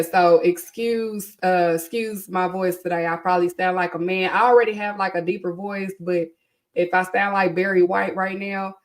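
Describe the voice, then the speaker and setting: A woman in her thirties hosting a podcast in casual solo chat, rambling with lots of ums and asides, her voice a bit congested from allergies. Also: fairly low pitch. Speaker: podcast host.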